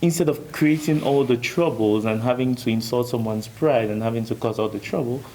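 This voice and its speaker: A man with a Cameroonian accent and a mid-pitched voice argues earnestly on a discussion panel. There is faint ambient noise behind him. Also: dark tone, rough recording. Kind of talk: earnest debate argument.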